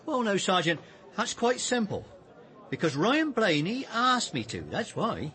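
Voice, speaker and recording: High-voiced, chatty English publican. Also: male voice, very animated, a little slow, pushed and bright, some chatter behind, heavily acted. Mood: reasoning. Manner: chatty, folksy, storytelling. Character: talkative, down-to-earth English village pub landlord. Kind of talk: radio drama acting.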